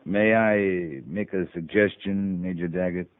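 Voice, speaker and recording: A seasoned cavalry captain, low-voiced, dry and quietly defiant. He speaks tersely, in 1950s radio western style, on a muffled, roomy old broadcast recording. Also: fairly even intonation, a little slow. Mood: measured, persuasive.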